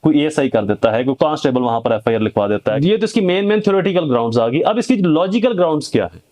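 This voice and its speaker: Higher-voiced Pakistani man explaining law. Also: big pitch swings, fast, a little mellow. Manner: emphatic, poses rhetorical questions and answers them; mixes English legal terms into speech. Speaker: advocate and legal explainer. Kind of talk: informal legal lecture to viewers.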